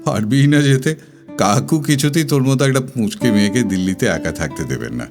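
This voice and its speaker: A man's voice: mid-range voice, expressive, brisk, darkish tone, close to an everyday voice. Male actor as whimsical lovestruck college boy, swooping intonation, Kolkata Bengali, poetic audio drama over guitar. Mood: wistful. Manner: teasing, whimsical, sulky. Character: lovestruck, flippant young male university student, evasive and wistful. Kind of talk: recited dramatic Bengali poem dialogue. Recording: studio voice over guitar music. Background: plucked guitar music.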